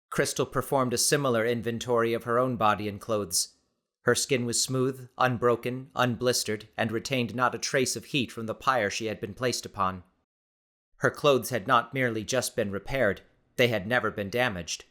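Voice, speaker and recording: A male audiobook narrator with a medium-pitched voice. He reads scripted fiction in a measured, expressive way, with dramatic storytelling phrasing.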